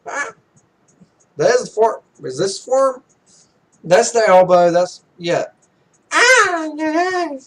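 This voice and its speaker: High-pitched man reading a game aloud. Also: sing-song, slow pace, long pauses, fairly bright tone. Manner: stumbles over words when reading aloud. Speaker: let's play host. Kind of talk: casual game commentary, reading visual novel text aloud.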